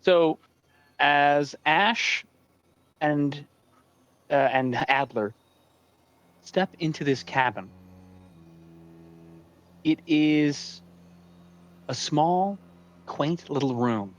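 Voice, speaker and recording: A man running a tabletop role-playing game as dungeon master, describing scenes deliberately with pauses between phrases. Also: fairly high pitch, lively intonation, highs cut off.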